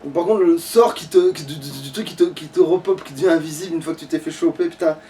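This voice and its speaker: A man's voice: on the high side, quick pace, warm tone, far from the mic. A man, sounding some way from the microphone. He plays a video game and grumbles through it in casual, sweary commentary, with music playing underneath. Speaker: gaming let's play player.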